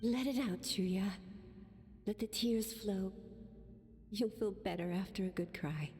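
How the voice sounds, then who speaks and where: A woman playing a gentle, patient motherly figure in a video game, soothing and tender. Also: medium pitch, slow. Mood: consoling. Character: gentle nurturing woman coaxing a grieving child, patient and tender. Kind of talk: video game drama acting. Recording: long reverberant hall effect, music behind.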